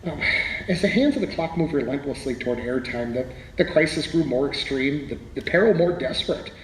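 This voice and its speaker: A man's voice: mid-range voice, lively intonation, brisk, lightly acted. A man playing a wry, literary playwright reminiscing as an interview guest in a radio drama, with hesitant starts. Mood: dramatic. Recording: echoey hall, faint background noise.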